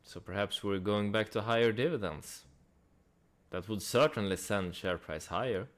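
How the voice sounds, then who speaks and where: A man's voice: fairly low pitch, normal speed. A man giving casual investment commentary in a conversational way, with rhetorical questions and dry asides. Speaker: host of a stock analysis channel.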